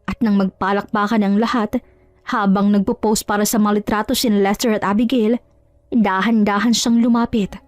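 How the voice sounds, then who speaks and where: A woman's voice: medium pitch, fairly even intonation, moderate pace, dry recording, studio quality, soft background music. A woman narrating a scripted story in a dramatic style.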